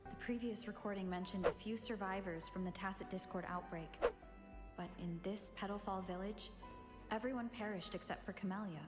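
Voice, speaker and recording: A woman voicing a thoughtful, observant young heroine in a video game, in a measured, hushed, reflective inner monologue. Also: medium pitch, steady tone, lightly acted. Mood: analytical. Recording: game music behind the voice.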